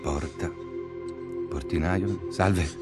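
An extremely deep voice plays an exhausted runner pushing himself homeward. The phrases are short, breathy and rhythmic, in radio drama style, over loud music. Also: male voice, extremely slow. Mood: breathless, driven.

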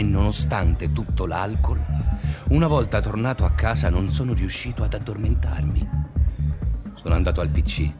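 An actor playing an obsessive, restless male schoolteacher in a confessional radio drama monologue, speaking deliberately in short phrases. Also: sits low, lightly acted. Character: obsessive, restless male schoolteacher narrating his sleepless days.

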